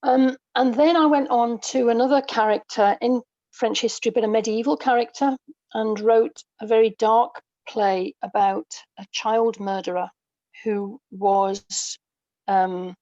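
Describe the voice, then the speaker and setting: A woman in her sixties speaking as an interview guest, thoughtful and hesitant, pausing mid-sentence as she reflects. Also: fairly high pitch, steady tone, mellow, no echo, highs cut off. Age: 60s. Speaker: guest, audio drama writer.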